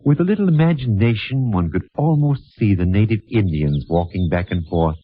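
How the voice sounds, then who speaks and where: An actor playing a playful, arch gentleman sidekick in a 1950s radio drama, with florid, teasing delivery. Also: fairly low pitch, expressive, normal speed, slightly rough. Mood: courteous. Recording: noisy, dull vintage radio recording.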